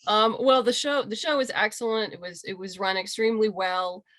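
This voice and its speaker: A woman's voice: mid-range voice, fairly even intonation, moderate pace, fairly bright tone, no echo. A woman talking casually and emphatically as an interview guest on a video call, with an enthusiastic manner. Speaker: interview guest, comic book writer and creator. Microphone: video call microphone.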